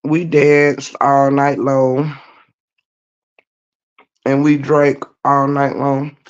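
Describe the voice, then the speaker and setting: A woman with a naturally low voice that is scratchy today, chatting laid-back to viewers on a livestream from her bed. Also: little pitch movement, drawn-out words, clean tone. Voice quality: low-toned voice. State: scratchy voice after a night of singing. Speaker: livestream host. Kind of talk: casual chat greeting viewers. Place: lying in bed at home. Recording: dull lo-fi stream audio.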